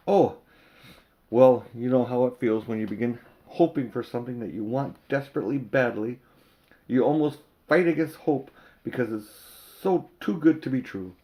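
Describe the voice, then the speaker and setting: An older man narrating a children's story as an amateur audiobook reader, with dramatic emphasis and drawn-out words. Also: medium pitch, dark tone.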